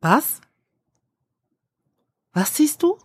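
Woman acting a slow-spoken, emotional female cook. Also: high voice, very animated, a little mellow, dry recording, studio quality, heavily acted. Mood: quiet, concerned. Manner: hesitant, intense. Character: ironic, emotional, overwhelmed young female cook. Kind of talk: German audio drama dialogue.

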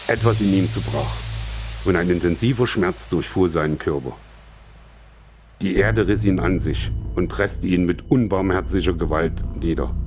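A man reading a literary short story aloud as scripted narration, in German. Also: deep voice. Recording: music behind. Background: music.

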